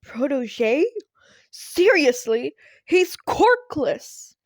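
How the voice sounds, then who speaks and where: Woman putting on a blustering, excitable male hero. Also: high voice, extremely slow, no room sound, pitched above the performer's own voice, exaggerated voice. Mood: incredulous, arguing. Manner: blustering, incredulous. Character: excitable, doubting, self-important male hero.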